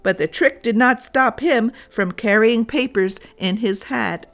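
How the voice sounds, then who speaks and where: Slow-paced woman reading a children's story. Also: fairly high pitch, fairly bright tone. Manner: deliberate, addressing young listeners. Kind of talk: read-aloud storybook narration for children.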